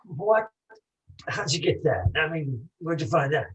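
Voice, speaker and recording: An actor playing a defiant small-time crook in a campy 1940s gangster radio style. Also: male voice, on the high side, sing-song, unhurried, recorded from a distance, lo-fi sound. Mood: protesting, rattled. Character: defiant small-time male crook, protesting his innocence. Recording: off-mic live stage pickup.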